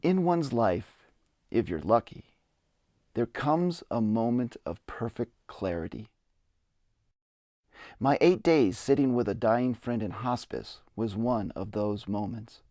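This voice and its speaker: A man's voice: mid-range voice, lively intonation, slow pace, mellow, no echo, clean studio sound. A man giving a reflective, earnest dramatic reading of his own memoir. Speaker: memoirist narrator. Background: music.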